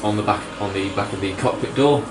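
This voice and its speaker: A man with a fairly low voice improvises as game master in a casual, halting style. He sits back from the mic in a roomy space, with music clearly playing behind him. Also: recorded from a distance. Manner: casual, halting, searching for words. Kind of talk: improvised tabletop game narration. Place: indoor room, group around a game table.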